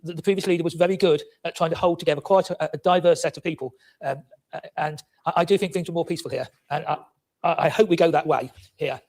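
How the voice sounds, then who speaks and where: Very fast-talking councillor, higher voice. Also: male voice, fairly even intonation, vocal fry, a little mellow. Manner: rapid, with stumbles and repeats. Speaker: county councillor. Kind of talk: formal council debate speech.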